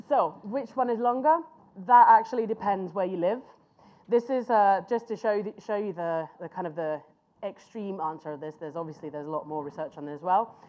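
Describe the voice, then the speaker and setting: A woman's voice: mid-range voice, warm tone, lo-fi sound. Woman giving a class. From Finland. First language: Finnish. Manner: conversational, pauses to search for words. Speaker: conference speaker. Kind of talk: master class presentation.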